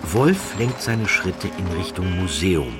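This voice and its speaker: A man reading a scripted literary story as an audiobook narrator, in a measured, deliberate storytelling manner. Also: low-pitched, expressive, over loud music.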